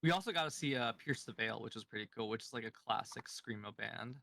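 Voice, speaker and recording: A man chatting casually on a stream, his voice hoarse and strained after screaming at a concert, laughing and swearing freely. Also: medium pitch, quick pace, pushed and bright, no echo. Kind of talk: casual chat.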